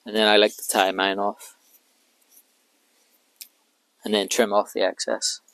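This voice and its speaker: A man's voice: fairly low pitch, unhurried, no room sound. Man explaining carp rigs by a lake. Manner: relaxed, explains each step while working. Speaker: carp angler vlogger. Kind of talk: casual how-to commentary. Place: outdoors by a lake.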